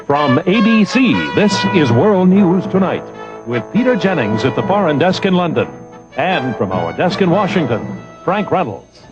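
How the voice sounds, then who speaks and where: Animated American male announcer over loud brass theme. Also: a little high, normal speed, loud music behind the voice. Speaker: program announcer. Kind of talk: formal broadcast intro announcement. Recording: dull old broadcast, loud brass theme.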